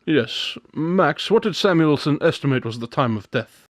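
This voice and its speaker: A man acting a confident, brisk, slightly pompous private detective in a radio drama, articulate and theatrical. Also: a little high, lively intonation, no echo, close to an everyday voice. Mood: confident, scheming.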